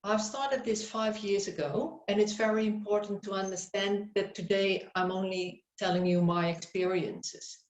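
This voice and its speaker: A middle-aged woman with a Dutch accent, a psychotherapist presenting a webinar in unhurried English and often searching for words. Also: medium pitch, fairly even intonation. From Netherlands. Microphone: video call. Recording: roomy, dull webinar audio.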